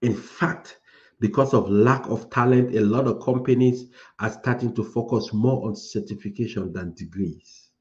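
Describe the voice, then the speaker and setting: A man's voice: on the low side, moderate pace, lo-fi sound. A man from Cameroon coaching people on starting a tech career, giving a preachy motivational talk that repeats phrases for emphasis.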